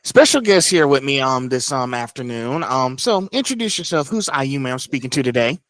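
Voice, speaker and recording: A man hosting his podcast interview, halting and full of restarts and "um"s. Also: medium pitch, lively intonation, a bit bright. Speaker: podcast host.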